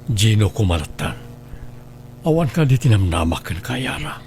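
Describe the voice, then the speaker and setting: A man's voice: on the low side, very animated, moderate pace, darkish tone, a little hiss or hum. A man acting an evasive, smitten middle-aged father in a radio drama, with a coaxing manner. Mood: wooing, eager.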